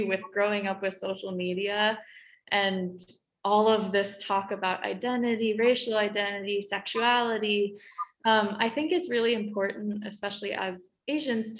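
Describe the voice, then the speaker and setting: A woman's voice: mid-range voice, a bit bright, echoey room. A teenage girl talking casually in a conversation, with many fillers and light laughs between phrases. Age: teens. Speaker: student.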